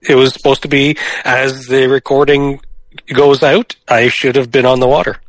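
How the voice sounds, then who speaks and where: A man's voice: mid-range voice, level tone, normal speed, low-quality recording. A man co-hosting a radio show from home on a gaming headset, nasal and congested with a cold, easygoing and laughing a lot. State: stuffed up with a cold. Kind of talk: casual banter, recording remotely.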